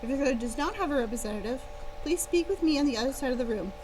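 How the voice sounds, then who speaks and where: A woman reading a story aloud, voicing a calm, brisk and authoritative female school professor. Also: high voice. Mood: brisk, commanding. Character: composed, soothing adult female school professor. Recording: clear background hum and noise.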